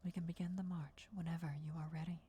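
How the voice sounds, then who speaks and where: A woman's voice: lowish voice, flat delivery, mellow, slight background noise, natural voice. Whispering ancient vampire countess, acted by a woman. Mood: resolute, loyal. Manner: formal, deferential, measured whispering. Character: ancient female vampire countess, devoted herald's speaker, formal and reverent. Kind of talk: whispered fantasy ASMR roleplay.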